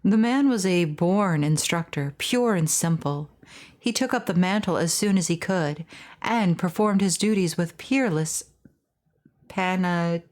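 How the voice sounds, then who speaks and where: A woman's voice: on the low side, a little slow, a little mellow. A woman doing let's play narration, reading on-screen text theatrically with casual asides. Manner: reads dialogue theatrically between casual asides. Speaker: streamer reading game dialogue aloud.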